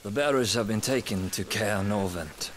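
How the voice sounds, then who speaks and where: A dutiful officer, acted by a man for a video game. He speaks formally and with assurance in a fairly low voice, deferential to his superior. A loud crowd and rain sound behind him. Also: normal speed, close to an everyday voice. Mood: formal, assured. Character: dutiful, deferential soldier officer serving a noblewoman. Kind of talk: dramatic video game acting.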